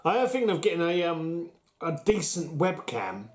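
A man's voice: a little high, sing-song, moderate pace. A middle-aged man chatting casually and swearing freely while tasting beer at home with a friend. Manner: laid-back, swearing, laughing often. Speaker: beer tasting show co-host. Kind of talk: casual chat. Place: home kitchen, indoors. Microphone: fixed camera across the table. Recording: echoey kitchen with background noise.

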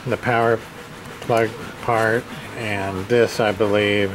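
A man giving a casual hands-on repair demonstration, thinking aloud in a hesitant, halting way as he goes. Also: fairly low pitch, level tone, rough recording. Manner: hesitant, halting, muttering to himself. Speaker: hobbyist radio repair presenter. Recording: clear background room noise.

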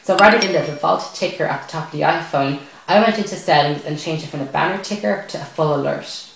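A man's voice: a little high, fast, creaky, fairly bright tone, slight background noise. A young man presenting a scripted tech tutorial to camera. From Ireland. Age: young adult. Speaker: tech video host. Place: small bare indoor room at a desk. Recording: very echoey bare room.

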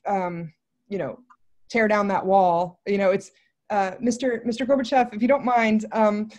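A woman's voice: medium pitch, normal speed. Rambling woman thinking aloud. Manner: rambling, animated phrasing with many asides. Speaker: film writer and director, interview guest. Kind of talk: casual, thinking-aloud interview answers. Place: indoor room on a video call.

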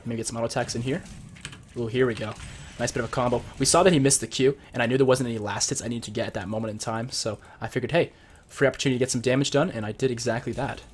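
A young man with a cold, sounding a bit husky and deeper, casually explaining strategy as he plays and comments on a video game at his computer. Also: steady tone, very fast, slight background noise. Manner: chatty, explains strategy while playing. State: sick with a cold. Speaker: gameplay commentator. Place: home room at his computer.

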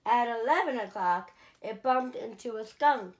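A woman in her forties narrating a picture book aloud for young children in an expressive, deliberate, emphatic way. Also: fairly high pitch. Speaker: children's storyteller at a museum. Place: small indoor room, seated.

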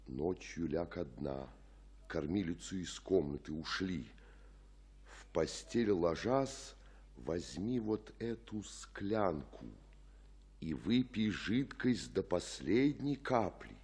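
An actor playing an older, fatherly friar in a radio tragedy, speaking in a husky, measured, solemn declamation. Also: sits low, animated, darkish tone, full character voice. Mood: grave, secretive. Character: grave fatherly friar counselling a desperate girl. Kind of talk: Russian radio play acting of classical tragedy.

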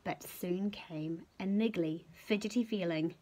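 A middle-aged woman reads a children's story expressively, with playful drawn-out emphasis and hushed moments. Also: on the low side, rough recording. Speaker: picture-book reader. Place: small furnished room at home.

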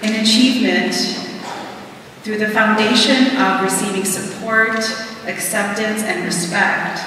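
A young woman reading a prepared graduation speech from a podium in an auditorium, earnest and measured, pausing between words. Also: medium pitch, steady tone, sharp tone, slight background noise. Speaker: student graduation speaker. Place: large auditorium stage at a podium. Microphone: podium microphone heard from a distant camera. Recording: echoey hall from far off.

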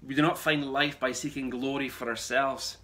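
A man's voice: mid-range voice, steady tone, moderate pace, bright, forceful tone. A man in his thirties giving an earnest, emphatic short sermon to camera indoors. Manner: earnest, emphatic, repeats key phrases. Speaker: pastor. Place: small room at home, indoors.

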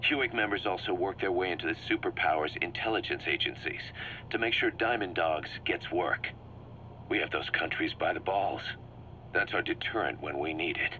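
A man's voice: sits low, normal speed, pushed and bright. A man playing a driven, shrewd mercenary commander in a video game, briefing his boss in an emphatic, assured way. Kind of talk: scripted video game dialogue, explaining plans. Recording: muffled cassette-like sound with background hum.

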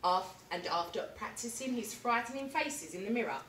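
A young woman teacher reading a picture book aloud with lively, expressive storytelling, in a classroom reading corner recorded from a distance. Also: a little high, normal speed, slight background noise. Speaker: primary school teacher. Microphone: camera at a distance. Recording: echoey room, mic far off.

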